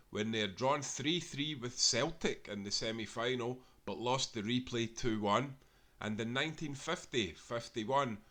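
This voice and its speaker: A man reading a football history book aloud in a steady, measured way, speaking as a proud supporter of his club. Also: medium pitch. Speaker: audiobook reader.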